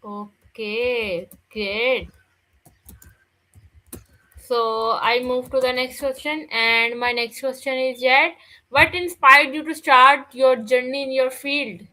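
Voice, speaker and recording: Slow, bright-voiced woman interviewer. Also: sits high, steady tone, low-quality recording. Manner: formal, reads set questions. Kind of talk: scripted interview questions.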